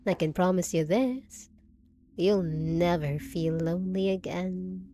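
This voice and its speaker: Woman voicing undead bride, possessive, sweetly menacing, theatrical cooing, slow drawn-out delivery, audio drama, noisy ambient backdrop. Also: fairly low pitch, mellow. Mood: possessive, adoring. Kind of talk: scripted roleplay audio drama monologue.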